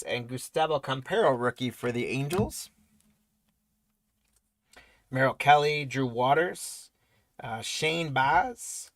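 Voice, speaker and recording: A man hosting a live trading card break, rattling off player names and congratulating viewers, his voice going hoarse. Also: mid-range voice, fairly bright tone, no echo. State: voice weakening, losing it.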